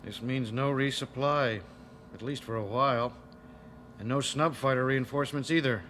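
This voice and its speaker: An actor playing a grave military general in a radio drama, formal, measured and commanding in his delivery. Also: medium pitch, steady tone, fairly bright tone, a little hiss or hum, natural voice. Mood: grave, businesslike.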